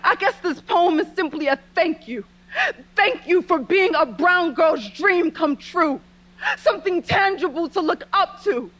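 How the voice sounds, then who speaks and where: High-pitched young woman performing spoken word. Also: bright, forceful tone, low-quality recording. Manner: impassioned, deliberate dramatic delivery.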